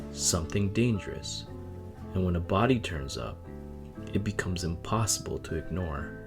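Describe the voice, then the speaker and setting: A man's voice: low voice, creaky. A man presenting a story series in scripted, dramatic podcast intro narration.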